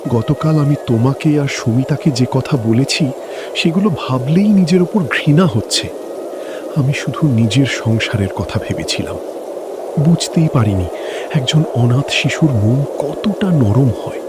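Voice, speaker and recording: A man's voice: mid-range voice, expressive, unhurried, noisy background, close to an everyday voice. A man playing a repentant middle-aged village father in a fairy-tale cartoon, speaking earnestly and emotively over music. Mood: remorseful. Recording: loud music bed behind voice.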